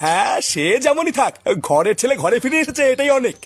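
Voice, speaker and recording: Squeaky, fretful old cartoon man. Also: big pitch swings, a little fast, soft background music, heavily acted. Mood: grumbling. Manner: fretful, scolding. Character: fussy, agitated older cartoon father or grandfather. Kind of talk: Bengali comedy cartoon dub.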